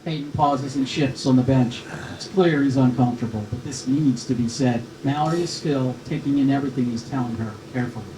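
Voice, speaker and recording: In a roomy, echoing recording with clear noise behind, a man narrates a screenplay's stage directions. His voice sits in a middle pitch, and the delivery is scripted narration. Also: a little fast, few pauses.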